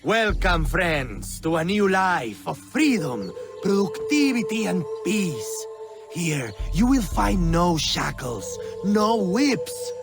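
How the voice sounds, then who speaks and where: An actor playing a grandiose, welcoming community leader in a video game, with a creaky voice and a slow, oratorical delivery. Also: male voice, high-pitched, sing-song, heavily acted. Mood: rousing. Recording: music under the voice.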